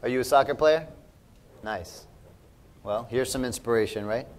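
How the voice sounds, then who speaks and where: A man's voice: medium pitch, moderate pace, a little hiss or hum. A middle-aged man from Chicago moderating a question session for children, upbeat and encouraging, repeating their questions back. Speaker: event moderator. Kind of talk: hosting a Q&A for children.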